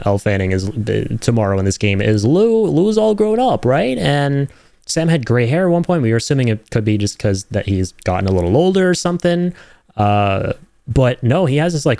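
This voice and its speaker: A man's voice: medium pitch, no room sound. Man reacting casually, dry close sound. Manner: rambling, thinking aloud, excitable. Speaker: video creator reacting to game trailers.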